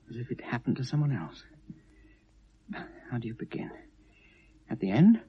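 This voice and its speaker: Husky, anguished, self-mocking British man. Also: lowish voice, a little mellow, muffled recording, exaggerated voice. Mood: bitter, wry. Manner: brooding, self-mocking, halting then bursting out. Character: wry, anguished shopkeeper recording his last confession. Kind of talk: radio drama monologue. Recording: vintage 1970s radio recording.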